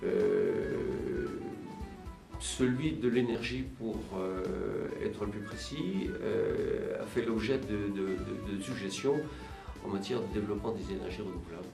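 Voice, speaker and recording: A man giving measured interview answers as a local official, halting and searching for words. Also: fairly low pitch, big pitch swings, creaky. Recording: roomy, distant mic, music behind. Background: music.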